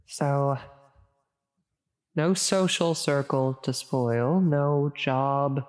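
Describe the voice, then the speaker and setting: A theatrical female demon acted out by a woman speaks in a long, cavernous reverb. She draws her words out very slowly, with grand, formal phrasing and a halting delivery. It is styled as an ASMR audio roleplay. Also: rumbling low, darkish tone, exaggerated voice. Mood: flustered, concerned. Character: menacing female demon, grandiose, increasingly flustered and concerned.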